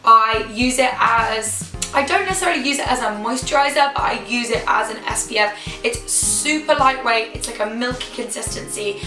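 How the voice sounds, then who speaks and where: A young woman with a hoarse voice, chatting enthusiastically to camera as a beauty vlogger. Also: fairly high pitch, moderate pace, pushed and bright. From United Kingdom. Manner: chatty, enthusiastic, repeats words for emphasis. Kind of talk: casual chat to camera. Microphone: camera mic. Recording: echoey room, camera mic from a distance, faint music.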